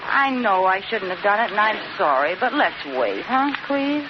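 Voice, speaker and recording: A woman's voice: mid-range voice, lively intonation, normal speed, lightly acted. An actress playing a scheming, wheedling, melodramatic married woman in an old radio situation comedy, with a theatrical, pleading manner. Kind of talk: 1940s-50s radio situation comedy acting. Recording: muffled, very noisy old radio broadcast.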